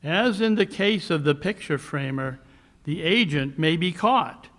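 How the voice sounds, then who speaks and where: A man's voice: fairly high pitch, expressive, fairly bright tone. A man playing a coolly brilliant, brooding Victorian detective in a 1940s radio mystery style, speaking in precise, declamatory phrases. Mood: grave, brooding. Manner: deliberate, precise, declamatory monologues. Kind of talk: 1940s radio mystery acting, live re-creation.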